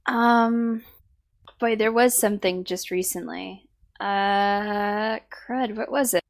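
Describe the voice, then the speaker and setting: A woman co-hosting a casual podcast, her voice worn out by a sore throat, talking in halting bursts with many fillers. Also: mid-range voice, steady tone. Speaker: podcast co-host.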